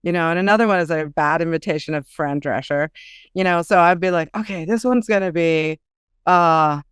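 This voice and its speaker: A woman's voice: lowish voice, moderate pace, clean tone, no echo, studio quality. A woman talking casually as a guest author in an interview, thinking aloud in a chatty, hesitant way.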